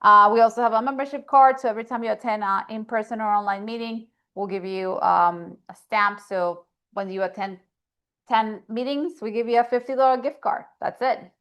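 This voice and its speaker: A woman with a Colombian accent giving brisk, friendly announcements to members in an online meeting. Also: on the high side, fairly bright tone, smooth. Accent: Colombian Spanish. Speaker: association staff member.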